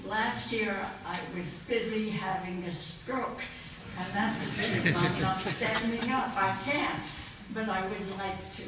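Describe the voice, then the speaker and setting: A woman, addressing an audience with personal stories in short, deliberate phrases. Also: medium pitch. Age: early 90s. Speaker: poet and guest speaker. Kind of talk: personal anecdotal speech to an audience. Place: indoor conference banquet room. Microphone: microphone, sounding distant. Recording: very echoey hall, distant, faint noise.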